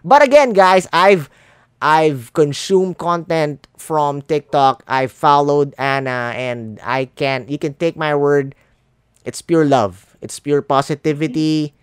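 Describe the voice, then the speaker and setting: A man's voice: on the high side, slow, clear, resonant tone, dry recording, clean studio sound. Earnest Filipino man hosting a podcast. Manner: earnest, encouraging, talks of love and positivity. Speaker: podcast host. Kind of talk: casual podcast interview.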